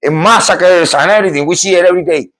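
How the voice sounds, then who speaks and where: A middle-aged man from Cuba tells a scary true story on his podcast, his voice sitting a little high for a man. He talks with emphatic energy, often repeating himself and restarting sentences as he builds his point. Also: fast. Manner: animated, repetitive, emphatic with restarts. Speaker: podcast host.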